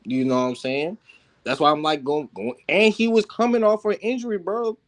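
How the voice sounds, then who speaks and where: A man's voice: on the high side, fairly even intonation, normal speed. A man in a casual, heated sports debate, talking insistently with lots of slang and swearing. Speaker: sports talk panelist.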